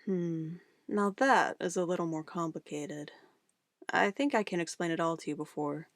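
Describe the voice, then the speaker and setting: A woman's voice: on the low side, normal speed, no echo, studio quality, close to an everyday voice. A woman playing an obsessive, controlling witch in an ASMR roleplay, a sweetly menacing lover who moves between coaxing endearments and firm commands. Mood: possessive, controlled.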